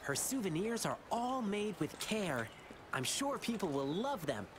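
A man's voice: high voice, very animated, a little slow, background noise. A man voicing an earnest, kindly youthful lion dancer in a video game, polite and encouraging. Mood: earnest, thoughtful. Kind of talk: English game voice acting.

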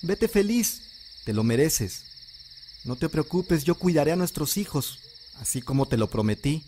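A man's voice: fairly high pitch, expressive, normal speed, dark tone, slight background noise, lightly acted. An actor playing a bewildered, grieving widowed father in an audio drama, with a Mexican accent and a plaintive, hesitant delivery. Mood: sorrowful.

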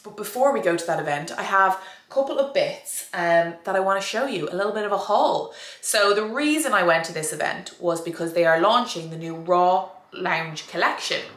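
A woman's voice: lowish voice, lively intonation, normal speed, fairly bright tone, reverberant. A young woman vlogger and fitness coach chatting to camera, nasal and bunged up with a cold, repeating words for emphasis. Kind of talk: casual vlog chat to camera. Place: small indoor room with a door behind.

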